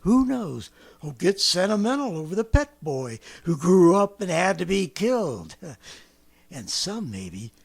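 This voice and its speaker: A man's voice: high voice, sing-song, a little slow, vocal fry, breathy edge, full character voice. An actor in 1930s radio drama style playing a fanatical survivalist artilleryman with an American accent, ranting colloquially and swinging from brooding to frenzied. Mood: scheming, intense. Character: fanatical survivalist artilleryman, swept up in grandiose schemes.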